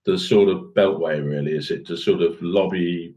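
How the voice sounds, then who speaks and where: A man's voice: low voice, fairly even intonation, moderate pace, mellow, dull audio. A middle-aged man co-hosting a current affairs podcast in a musing, understated, conversational way. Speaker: podcast co-host. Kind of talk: casual current affairs discussion.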